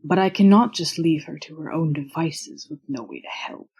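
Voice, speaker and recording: Someone acting a husky, formal young student guy. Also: medium pitch, a little mellow. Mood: earnest, firm. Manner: formal, measured, precise wording without contractions. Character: formal, stiff, earnest young male physical therapy student. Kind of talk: audio drama acting of fan fiction.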